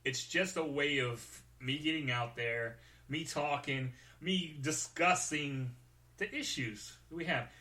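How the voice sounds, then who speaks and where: Bright-voiced man, rambling podcast host. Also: fairly high pitch, slow. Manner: informal, joking asides. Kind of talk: rambling casual solo podcast chat.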